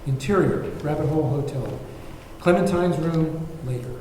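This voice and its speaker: A very roomy, reverberant recording of a man narrating a screenplay's stage directions. His voice is mid-pitched and sits away from the mic, with faint noise. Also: normal speed, darkish tone. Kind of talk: scripted narration at a table read. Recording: very echoey, distant, faint noise.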